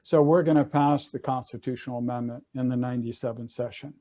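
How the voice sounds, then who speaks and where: A man's voice: medium pitch, fairly even intonation, quick pace, dark tone. An older man on a video call, casually recounting legal history with many fillers and restarts. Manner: conversational, loose with fillers and restarts. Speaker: attorney and panelist.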